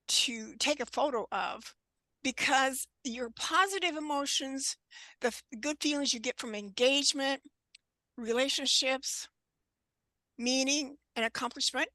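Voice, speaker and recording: Slow, bright-voiced woman in her 70s. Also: sits high, no echo. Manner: unhurried, halting, frequent throat clearing. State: scratchy voice. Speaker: guest presenter on wellness. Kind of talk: informal presentation with discussion.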